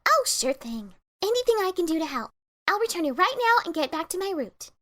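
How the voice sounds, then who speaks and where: A woman's voice: extremely high pitch, sing-song, brisk, fairly bright tone, heavily acted. A woman voicing a scatterbrained, eager-to-please female pony mail carrier in a fan-made dramatic reading. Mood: eager, obliging.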